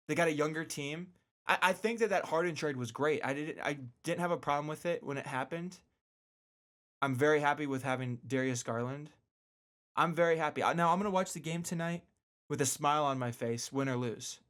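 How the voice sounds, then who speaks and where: A man's voice: fairly high pitch, a bit bright. Casual, emphatic man hosting talk radio. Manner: emphatic repetition for stress; informal slangy speech with "man" and "dude". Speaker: radio show host.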